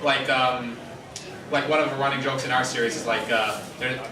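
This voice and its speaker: A man's voice: medium pitch, steady tone, moderate pace, echoey room. A man giving an informal panel talk to an audience, stammering and rambling loosely, recorded from a distance in a noisy room. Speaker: convention panelist, fan dubber.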